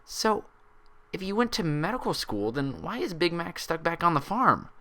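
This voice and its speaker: Storybook mare patient, played by a man: pitched up, young, anxious and frustrated, full of hesitant questions. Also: sing-song, normal speed, sharp tone. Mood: disbelieving.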